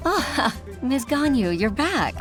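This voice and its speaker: A woman's voice: high voice, big pitch swings, takes it slowly, music in the background, full character voice. A woman voicing a mild-mannered, grateful townswoman in a video game, speaking politely.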